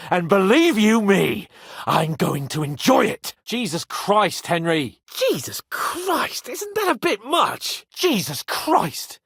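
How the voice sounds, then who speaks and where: A snobby young medieval lord for a game, raspy edge, bossy and quick to anger, really dry studio sound. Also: male voice, high-pitched, no room sound, full character voice. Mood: angry, vengeful, then appalled. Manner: imperious, sardonic, swings between sneering and shouting. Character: haughty, hot-tempered young medieval nobleman knight. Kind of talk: dramatic video game voice acting.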